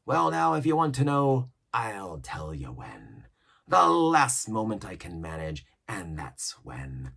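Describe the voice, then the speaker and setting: A man voicing a cunning older cook with a gravelly, breathy growl and speech, swinging between bluster and whispers. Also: fairly low pitch, wildly swooping pitch, takes it slowly, a bit bright, heavily acted. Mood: scheming. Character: scheming one-legged pirate cook, older man, cunning.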